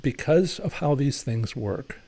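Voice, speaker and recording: A man's voice: medium pitch, animated, unhurried, mellow, no echo. A man hosting a live question-and-answer stream, talking loosely with many fillers and restarts as he explains and gives advice. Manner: explanatory, advising. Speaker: host answering viewer questions. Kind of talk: live Q&A chat.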